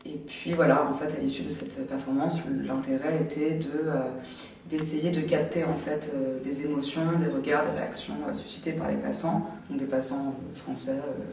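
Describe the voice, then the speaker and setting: A young woman from France, an art student, answering interview questions casually and haltingly, often pausing to think. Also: low-pitched, fairly even intonation, darkish tone. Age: young adult. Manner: halting, thinking aloud with many pauses. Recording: very echoey, distant from the mic, faint noise.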